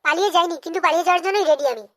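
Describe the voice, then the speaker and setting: Cartoon village wife: brazen, taunting, extremely high-pitched, very fast delivery. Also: male voice, fairly bright tone. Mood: defiant. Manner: taunting, blunt. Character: brazen, scheming village wife, sharp-tongued and defiant. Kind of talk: comedy cartoon dialogue.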